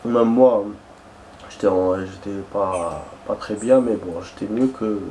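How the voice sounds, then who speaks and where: A man's voice: fairly low pitch, slow, a little mellow, a little hiss or hum. A young man chatting casually while playing a game, rambling and hesitant, with many fillers and restarts. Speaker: gaming streamer.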